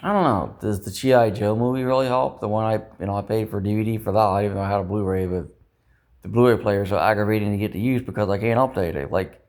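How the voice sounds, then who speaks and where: A man with a fairly low voice speaks in a loose, rambling vlog style. He circles back over his points and doubts himself as he goes, dropping in the odd mild swear word. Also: fast talker, a little mellow. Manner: self-doubting, ruminating, mildly profane. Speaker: vlogger. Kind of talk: rambling casual vlog monologue.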